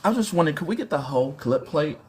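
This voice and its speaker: A man's voice: a little high, animated, racing, a little hiss or hum. A man speaking as a pastor on a live stream, greeting the congregation with a folksy, half-sung blessing. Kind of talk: preacherly greeting. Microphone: phone on live stream.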